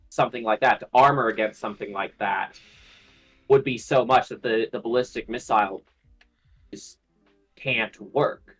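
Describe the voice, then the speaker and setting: A man's voice: mid-range voice, fairly bright tone, rough recording. A man in a casual speculative discussion on a livestream panel, repeating phrases as he reasons things through.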